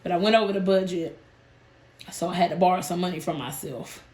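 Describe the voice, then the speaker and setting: Hoarse, nasal woman chatting to viewers. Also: on the low side, fairly quick, darkish tone, roomy sound. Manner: chatty, addresses viewers as "y'all". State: hoarse and nasal from a sinus illness. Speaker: budgeting channel host. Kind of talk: casual chat to viewers.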